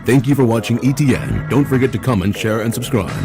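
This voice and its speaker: A man's voice: medium pitch, fast talker. A man reading a recorded channel outro in an announcer style. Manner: announcer-style promo read. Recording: announcer read under loud music.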